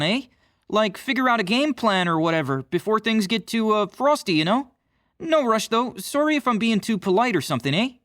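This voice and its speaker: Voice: mid-range voice, fairly bright tone, no room sound, studio quality, natural voice. An actor playing an over-polite cartoon Canadian who speaks hesitantly with a Canadian accent and keeps apologizing. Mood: apologetic. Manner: hesitant, constantly apologizing, tags sentences with eh. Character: over-polite, apologetic Canadian teen stereotype under a spell. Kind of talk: scripted character dialogue.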